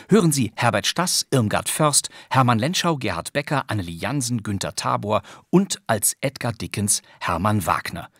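A man's voice: on the low side, dry recording, clean studio sound. A man presenting a podcast, reading a scripted introduction to a radio play.

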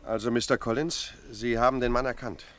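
Brisk, fast-talking police sergeant, played by a man. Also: medium pitch, fairly even intonation, fairly bright tone, no echo, faint music behind, close to an everyday voice. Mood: probing. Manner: clipped, official, probing questions. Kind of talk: German radio crime drama acting.

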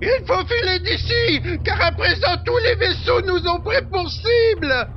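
A man playing an excitable, gloomy spaceship copilot in an audio drama, exclaiming and grumbling. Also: very high voice, measured pace, dull audio, slight background noise. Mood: alarmed.

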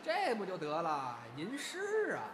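Man playing a lively, cheeky young crosstalk comedian. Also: high voice, measured pace, full character voice. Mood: comic boasting. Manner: theatrical, teasing, playful. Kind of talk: crosstalk comedy dialogue in audio drama. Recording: loud background noise.

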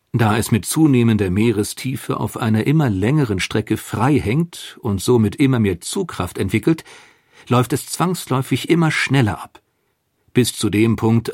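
A man reading a scripted documentary narration in a measured, factual way. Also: medium pitch, a little mellow, no room sound, clean studio sound.

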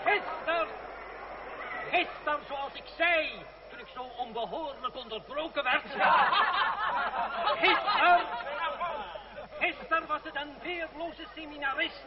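An actor playing a daring, sardonic young revolutionary in a radio play, declaiming in a rousing way. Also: male voice, very high voice, a little slow, long pauses. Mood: rousing, declamatory. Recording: dull noisy old radio recording. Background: outdoor crowd clamour.

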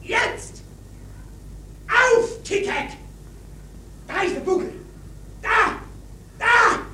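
Frantic whale hunter, radio drama, very high shrill shouts, bright, urgent, echoing. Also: animated, extremely slow, heavily acted. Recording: distant, very echoey, noisy radio drama scene.

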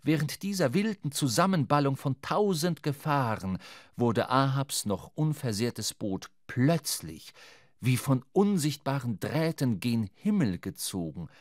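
A man's voice: medium pitch, lively intonation, a little slow, no room sound. A man narrating a literary sea adventure for a radio drama, recorded close in a studio.

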